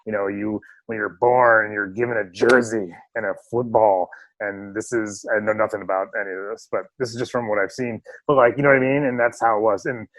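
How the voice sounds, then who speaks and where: A man's voice: lowish voice, steady tone, a little fast, a bit bright, dry recording, low-quality recording. A man hosting a podcast remotely, chatting and joking with lots of fillers. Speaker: podcast host. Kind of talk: casual chat. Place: remote home setup.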